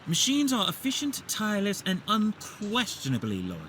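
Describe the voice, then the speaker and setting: A man's voice: high voice, huge pitch swings, moderate pace, a bit bright, slight background noise, heavily acted. Man playing a scheming, swooping villain doctor. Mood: indignant. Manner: precise, superior, sly. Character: scheming, vain villain doctor, calculating and fussy. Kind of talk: theatrical comic dub acting. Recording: prominent background music behind voice.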